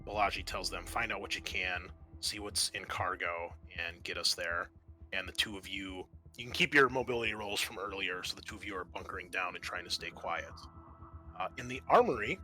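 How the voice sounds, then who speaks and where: A man working as game master, narrating a roleplaying session in a halting, conversational way. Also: deep voice, sharp tone.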